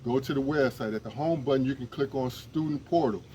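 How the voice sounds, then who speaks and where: A man's voice: medium pitch, brisk, mellow, slight background noise. A man, an emergency management instructor, making an earnest, rambling, pleading appeal outdoors, with many restarts. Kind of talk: casual direct-to-camera appeal.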